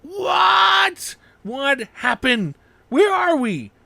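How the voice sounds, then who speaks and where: A man's voice: extremely high pitch, big pitch swings, very slow, vocal fry, pushed and bright, dry recording, voice pushed up, heavily acted. A man voicing a cowardly, lovesick young anime swordsman in a whiny, wailing, melodramatic way. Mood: panicked.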